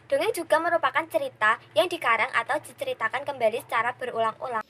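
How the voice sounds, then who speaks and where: A woman's voice: high voice, fast, bright, forceful tone, a little hiss or hum. A young woman, a student presenter, giving a scripted explanation. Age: young adult.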